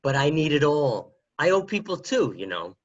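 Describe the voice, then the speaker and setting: A man's voice: on the high side, very animated, moderate pace. A man playing a desperate, pestering hanger-on in a crime radio play, pleading and insistent, on a lo-fi home recording. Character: desperate, pestering man demanding his share of money.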